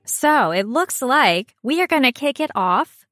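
Slow-paced, playful woman podcaster, close and dry. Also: sits high, animated, a bit bright, no echo, soft background music. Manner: playful, jokey. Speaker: podcast co-host. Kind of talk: casual comedic podcast banter.

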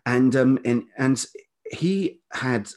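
A middle-aged British man with a velvety voice, talking reflectively and haltingly as an interview guest, often circling back on his thoughts. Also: mid-range voice, darkish tone. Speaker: podcast guest, writer and radio producer.

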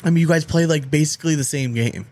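A man's voice: on the high side, very fast, darkish tone, dry recording. A man hosting a podcast, chatting casually about sports with plenty of banter, slang and swearing. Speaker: podcast host.

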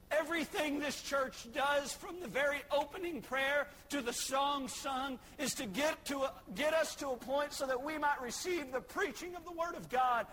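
High, bright-voiced preacher. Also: male voice, a little hiss or hum, rough recording. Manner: swings from shouting to soft asides, folksy humor. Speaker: pastor. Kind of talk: sermon.

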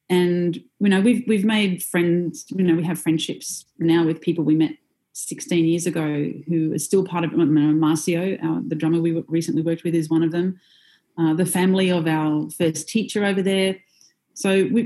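A woman singer talks through her experiences in a relaxed interview, pausing often with ums and soft laughs. Her voice sits a little low and sounds warm and mellow. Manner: reflective, with frequent "um" and soft laughs. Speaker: singer in a band, guest. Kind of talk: conversational interview.

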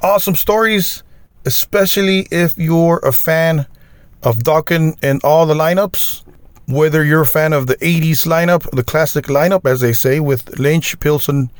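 A man's voice: a little high, normal speed, no echo. A man hosting a metal podcast interview, agreeable and chatty, with many short yeahs and wows. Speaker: podcast host. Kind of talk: casual interview and outro.

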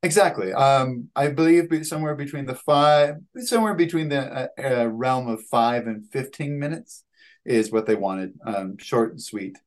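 A man in his thirties answering interview questions on a video call, with halting phrasing and repeated words. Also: medium pitch. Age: 30s. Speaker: guest, playwright and actor.

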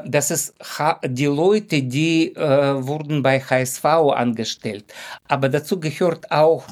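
Slow, halting man with a Ukrainian accent. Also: medium pitch, a little mellow. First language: not native in German. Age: middle-aged or older. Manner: halting, searching for words, simple phrasing. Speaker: sports doctor, interview guest. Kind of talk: conversational interview.

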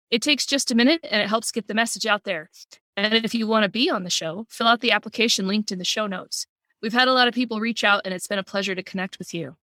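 A woman's voice: medium pitch, pushed and bright, dry recording. A woman hosting a podcast, reading an upbeat introduction and asking questions. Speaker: podcast host.

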